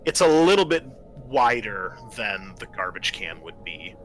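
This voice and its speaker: A man narrating a tabletop roleplaying game as game master, describing scenes in a deliberate, halting way. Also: fairly low pitch, very animated, a bit bright, soft background music, low-quality recording.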